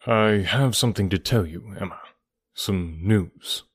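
A grave, determined gentleman of a period novel, as a male reader plays him. His voice is fairly low, with formal, measured phrasing and gentle teasing. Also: lively intonation, dry recording, studio quality, close to an everyday voice. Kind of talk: audiobook character dialogue.

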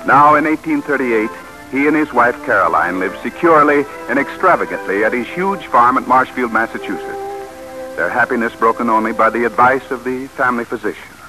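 A man's voice: mid-range voice, a little fast, rough recording. A man announcing and narrating in a formal, dramatic 1940s radio style. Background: music.

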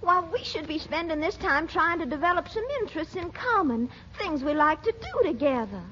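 Very high-voiced, coy genteel sweetheart. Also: female voice, animated, a little fast, bright, forceful tone. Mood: gently scolding. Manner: coquettish, prim, coaxing. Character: coy, genteel, gently scolding lady sweetheart. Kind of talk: 1940s radio comedy acting. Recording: muffled, noisy 1940s radio recording.

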